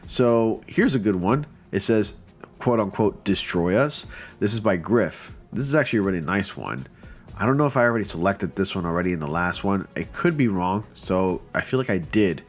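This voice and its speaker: A man's voice: low-pitched, animated, normal speed, soft background music. A man with a stuffy, just-off-a-cold voice, casually and enthusiastically commenting on fan photo submissions with lots of fillers. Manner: chatty and enthusiastic, with many fillers.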